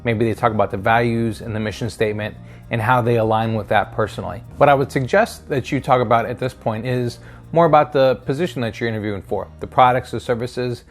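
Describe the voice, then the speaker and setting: A man's voice: mid-range voice, fast, faint music behind. A middle-aged man presenting instructional advice straight to viewers, explaining step by step and checking in with short tag questions. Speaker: career advice video host, sales hiring manager.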